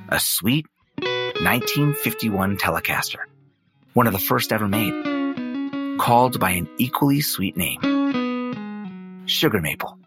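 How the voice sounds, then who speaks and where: Folksy storyteller narrator for a fiction podcast, real slow n lively pitch, musing, guitar behind him. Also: male voice. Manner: unhurried, musing, with deliberate pauses. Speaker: narrator and guide.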